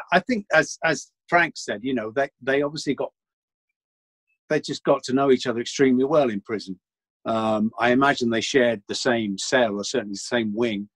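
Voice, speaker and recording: A man, an actor in a casual panel interview, explaining things thoughtfully with hesitant pauses. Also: mid-range voice, no room sound. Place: home, remote lockdown recording.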